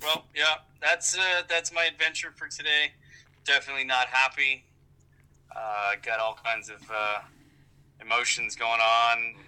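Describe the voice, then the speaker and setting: An American man with a strikingly bright, forceful voice and a middle pitch. He talks casually and swears freely, rambling in a wry, self-deprecating way. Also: fairly even intonation, measured pace, clean tone, rough recording. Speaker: golf vlogger and amateur golfer. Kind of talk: casual phone chat, profane.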